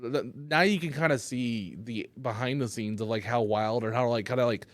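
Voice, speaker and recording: A man, a stand-up comedian, chatting loosely on a podcast while high, rambling and cursing often. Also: moderate pace, dry recording. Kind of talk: casual podcast chat.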